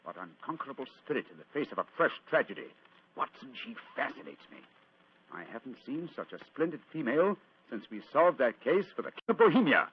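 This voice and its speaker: An actor playing a keen, courteous gentleman detective in an old-time radio drama, clipped and probing in manner. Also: medium pitch, sing-song, fairly quick, slightly rough. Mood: fervent admiration. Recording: dull, lo-fi old radio recording.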